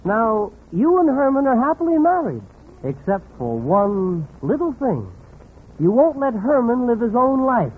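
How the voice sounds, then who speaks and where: An American actor playing a wry, friendly comic narrator who addresses the listener directly in 1940s radio comedy style. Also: male voice, very high voice, sing-song, unhurried, heavily acted. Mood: gently teasing. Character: wry friendly observer of married life. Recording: thin, muffled, noisy 1940s radio.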